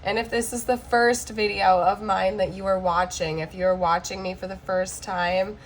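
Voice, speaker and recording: A woman recovering from the flu, with a hoarse, cracking voice, giving a chatty tarot card reading to camera and checking in often with "okay?". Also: medium pitch, little pitch movement, moderate pace, slight background noise, poor audio.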